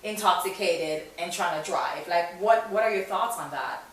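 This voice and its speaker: A woman's voice: lowish voice, normal speed. A woman hosting a talk show in a conversational way, supportive, inquisitive and emphatic. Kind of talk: conversational interviewing. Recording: very echoey room, distant mic.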